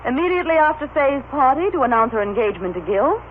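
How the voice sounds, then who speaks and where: On a scratchy, narrow old radio recording, a cold, scheming society wife speaks in a high, swooping voice. She is imperious and sharp-tongued, in soap opera style. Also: very animated, fast. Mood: haughty, smug.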